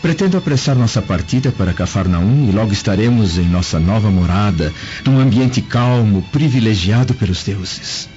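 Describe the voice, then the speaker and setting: A man playing a dignified, protective Roman senator, measured and reassuring, in a radio drama. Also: fairly low pitch, animated, dark tone, lightly acted. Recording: muffled lo-fi, faint music.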